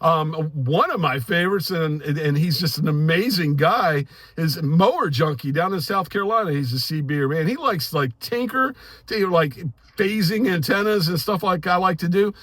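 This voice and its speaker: A man's voice: on the high side, lively intonation, normal speed, a bit bright, no echo. A middle-aged or older American man, a radio hobby YouTuber, talking casually and emphatically to his viewers in a rambling, opinionated monologue. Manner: informal, emphatic, rambling with "you know" and "man".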